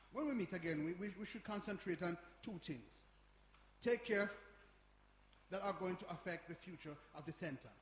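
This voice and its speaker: An actor playing a principled, indignant older man in a stage play, halting and repeating words as he argues. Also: high voice, lively intonation, a little hiss or hum. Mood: cautious, firm. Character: principled, indignant older man defending a youth community centre. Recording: echoey live hall performance.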